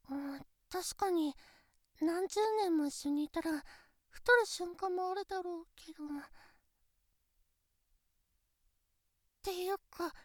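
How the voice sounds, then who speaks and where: A very high, girlish voice of a shy teenage girlfriend, acted by a young woman, talking slowly and softly as if lying next to the listener. The sound is close and completely dry, with no room around it. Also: fairly even intonation, no room sound. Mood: relieved. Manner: soft, intimate, pillow-talk delivery. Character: shy, insecure teenage girlfriend, female classmate. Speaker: voice actress. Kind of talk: Japanese situation voice drama. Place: studio.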